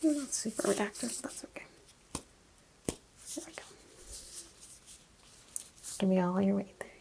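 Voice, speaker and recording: Soft-spoken, creaky ASMR woman. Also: medium pitch, expressive, a little slow, a little hiss or hum. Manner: murmured, often slipping into whispers, gently instructing. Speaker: ASMR role-player acting as a medical examiner. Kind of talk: soft-spoken ASMR medical exam role-play.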